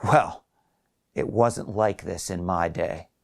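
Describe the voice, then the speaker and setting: A man voicing an indignant, desperate older man with a blustering, halting delivery in a short story. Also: low-pitched, gravelly, heavily acted. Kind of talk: acted short story dialogue.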